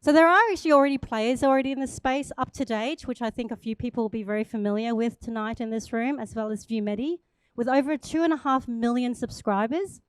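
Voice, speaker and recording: Upbeat Thai woman pitching on stage. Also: fast, smooth, dry recording. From Thailand. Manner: upbeat, chatty, laughs at herself. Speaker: startup founder pitching.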